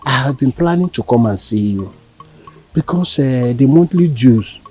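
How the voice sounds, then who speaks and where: A man playing a calm village secretary. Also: mid-range voice, normal speed, slightly rough, faint music behind.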